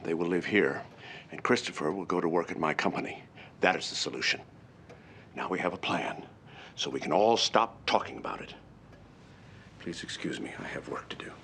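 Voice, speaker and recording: A man's voice: low voice, normal speed, long pauses, fairly bright tone, a little hiss or hum. An actor playing a stern, decisive patriarch and businessman in a TV drama. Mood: stern, final. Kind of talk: TV drama dialogue.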